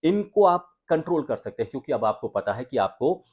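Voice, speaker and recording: एक आदमी जो आवाज़ सुधारने का पाठ पढ़ाता है, हमिंग की आवाज़ें करके दिखाता है और हिंदी लहजे में बोलता है।. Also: male voice, medium pitch, big pitch swings, a little fast, darkish tone, husky, dull audio. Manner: explanatory, demonstrates humming sounds. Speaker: voice coach and anchor. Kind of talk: voice training lesson.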